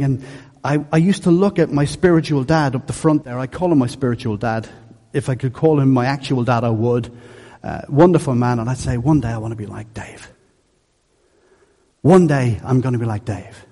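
Irish pastor, dublin accent gone a bit soft, husky and highish voice, chatty jokey sermon. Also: male voice. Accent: Dublin Irish, softened by years in England. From Ireland. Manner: conversational, jokey, animated storytelling. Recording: echoey hall.

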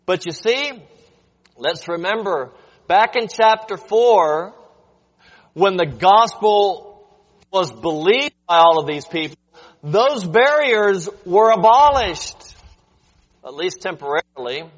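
A man with a high voice who preaches slowly and deliberately, teaching as he goes and pausing to ask his listeners questions. His voice rings with a long hall reverb, and the recording sounds dull and muffled. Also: animated. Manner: explanatory, addresses listeners with rhetorical questions. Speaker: preacher teaching a Bible lesson. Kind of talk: sermon.

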